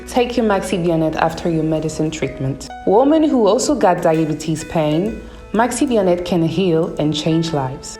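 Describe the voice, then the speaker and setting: A man's voice: high-pitched, a little mellow. Echoey male ad announcer over music. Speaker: advertising announcer. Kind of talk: scripted product advert narration.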